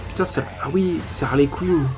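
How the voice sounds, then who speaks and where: A man hosting a casual trailer reaction, excited and rambling, with many fillers and restarts. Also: a little high, moderate pace, faint music behind. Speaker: channel host reacting to trailers.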